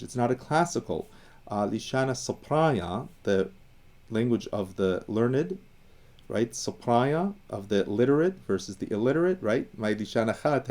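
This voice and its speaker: Low, mellow man explaining language history. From Iran. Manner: discursive, thinks aloud with fillers and restarts. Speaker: educator on Assyrian language and identity. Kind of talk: casual explanatory talk.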